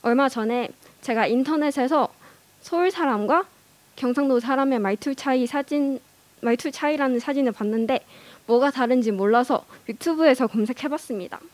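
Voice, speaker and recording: A woman's voice: high-pitched, moderate pace, darkish tone, no echo. A young woman giving a prepared speech in a learned language, careful and earnest, with small hesitations. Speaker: speech contestant.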